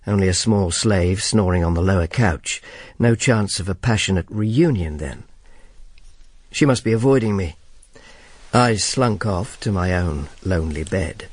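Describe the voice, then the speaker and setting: A man's voice: deep voice, unhurried, a little mellow, no echo. A man playing a world-weary, sardonic Roman informer who narrates and speaks in a radio drama. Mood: dry, wry. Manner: dry, sardonic. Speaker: lead actor and narrator.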